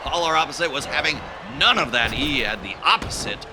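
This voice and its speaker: A man doing comedic live play-by-play commentary for a wrestling match, rambling through jokes with lots of fillers and bursting into excited calls. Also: a little high, fairly quick. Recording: loud crowd and noise behind.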